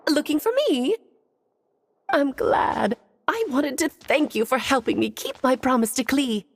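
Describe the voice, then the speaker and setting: A woman's voice: high-pitched, sing-song, measured pace, breathy edge, exaggerated voice. A woman playing a poised, gracious mage in a video game, speaking with a lightly playful manner. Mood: gracious, amused. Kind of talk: video game cutscene acting. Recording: music behind the voice.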